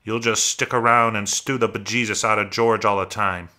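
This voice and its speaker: A man voicing a stern little old woman in a storybook, an aunt who scolds in sharp, nagging rustic talk. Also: medium pitch, expressive, moderate pace, pitched above the performer's own voice. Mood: sharp, exasperated.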